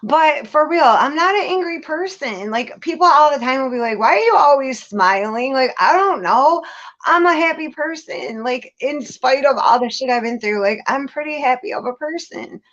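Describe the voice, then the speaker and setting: A woman with a slightly high voice talks casually and bluntly, stressing her points in informal, salty language. The audio is a bit dull, like an online call. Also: expressive, normal speed, fairly bright tone. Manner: emphatic, blunt, informal with swearing. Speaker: guest on a livestream. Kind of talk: casual candid chat.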